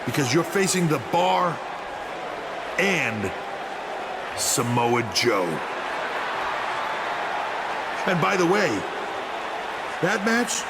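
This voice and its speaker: An actor playing a smug, menacing wrestling boss in a video game, taunting with emphatic pauses in a gravelly voice amid loud crowd noise. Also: male voice, fairly high pitch, expressive. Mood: gloating.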